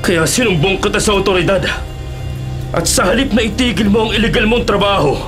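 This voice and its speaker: A man acts a righteous Filipino forest ranger, hurt but earnest, in a radio drama. His high voice is strained with indignation. Music sounds clearly behind him. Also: close to an everyday voice. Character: wounded, righteous male forest ranger facing his killer.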